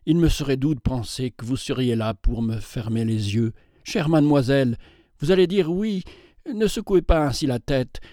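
A man's voice: mid-range voice, normal speed, dark tone, dry recording, clean studio sound, natural voice. A man voicing an aging, gallant bachelor collector who pleads in an earnest, courtly, emphatic way.

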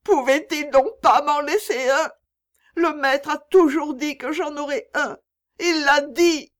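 A woman's voice: high voice, slow pace, voice pushed up. A woman voicing a desperate old enslaved mother who pleads and sobs in a broken, wailing voice for an audiobook. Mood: broken, sobbing. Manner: sobbing, pleading.

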